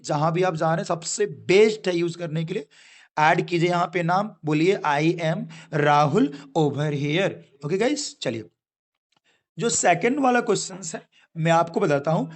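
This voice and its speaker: A young Indian man teaching spoken English. He explains patiently, keeps checking in with "okay guys", and stretches out each example sentence slowly. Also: high voice.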